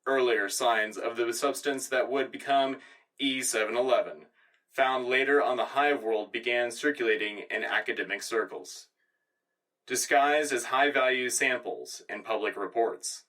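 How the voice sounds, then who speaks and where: Male actor as earnest sci-fi radio anchor, bright punchy voice, official, polished, slightly off-mic. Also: mid-range voice, unhurried, recorded from a distance, low-quality recording. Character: earnest male propaganda radio news anchor of future Earth. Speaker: radio co-host. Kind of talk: scripted satirical news broadcast.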